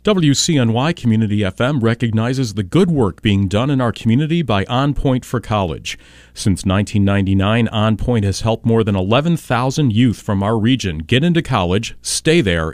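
A man reading a scripted community spot as a radio station announcer, in a measured way. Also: lowish voice, animated, darkish tone, no room sound, studio quality. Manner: measured, read aloud.

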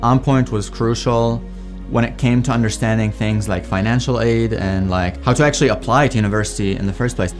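A man's voice: on the low side, brisk, hardly any pauses. A young man from Iraq casually telling his personal story in an informal, conversational way. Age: young adult. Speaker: alumni testimonial speaker, PhD student. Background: music bed.

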